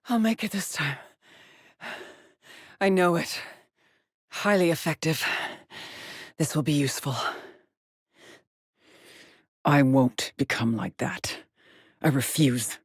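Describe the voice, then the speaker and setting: Game role: stranded female astronaut, haunted yet resolute, measured slow delivery, clipped formal wording, dry studio sound. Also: low voice, animated, breathy edge, no echo, full character voice. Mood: tense, defiant. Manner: terse, introspective, clipped formal phrasing. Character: haunted, determined female astronaut scout stranded on an alien world. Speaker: video game voice actress. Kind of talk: dramatic video game monologue and log entries.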